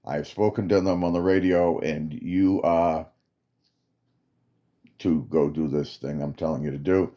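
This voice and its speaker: A man's voice: very deep voice, steady tone, mellow, dull audio. A man playing a stern insistent commander. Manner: commanding, slow and emphatic orders.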